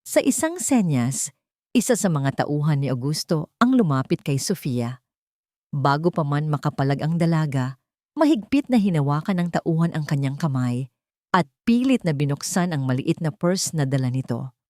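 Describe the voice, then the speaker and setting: A woman narrates a scripted melodrama. Her voice sits on the low side, and she tells it deliberately, with solemn suspense. The recording is dry, with hardly any room around it. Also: lively intonation, darkish tone, no room sound. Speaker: story narrator. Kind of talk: dramatic scripted story narration.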